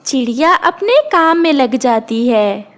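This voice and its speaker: A woman's voice: high-pitched, lively intonation, measured pace. A woman narrating a scripted children's cartoon story.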